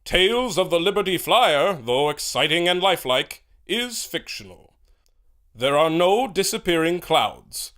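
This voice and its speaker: A man's voice: on the high side, big pitch swings, measured pace, a bit bright. A man playing an earnest, formal announcer in a 1930s-style radio serial, with a dramatic salesman's delivery. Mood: solemn, formal. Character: earnest old-time radio announcer reading adverts and bulletins.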